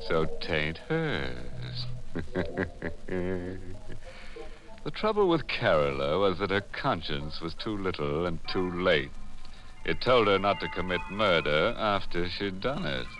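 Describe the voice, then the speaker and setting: An actor playing a ghoulish, gleeful horror host on 1940s radio, with mock-sinister, drawn-out delivery of macabre puns. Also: male voice, sits low, very animated, heavily acted. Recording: 1940s radio recording with music.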